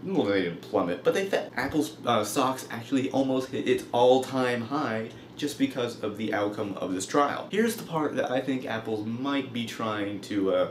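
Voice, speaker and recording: A man's voice: medium pitch, animated, moderate pace, hardly any pauses. A young man giving casual, humorous news commentary in an informal, wry way, with mock-emphatic asides. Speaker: host of a comedic news web show. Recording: roomy echo, faint background noise.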